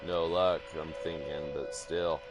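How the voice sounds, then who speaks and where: A man's voice: extremely low pitch, little pitch movement, measured pace, loud music behind the voice, rough recording. A man from California streaming a game, chatting in a crude, rambling, heavily swearing way. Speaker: gaming streamer. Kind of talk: casual profane banter while gaming.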